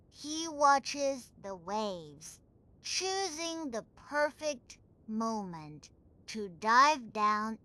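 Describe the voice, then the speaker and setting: A woman narrating a scripted bedtime sleep story in a soothing, measured, unhurried manner. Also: on the high side, sharp tone.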